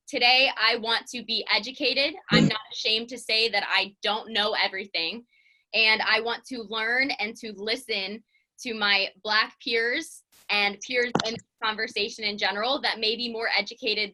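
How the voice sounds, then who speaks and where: A young woman in her twenties, a sports reporter, hosting and opening a conversation in an earnest, measured way. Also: fairly high pitch, pushed and bright, poor audio. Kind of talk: scripted introduction.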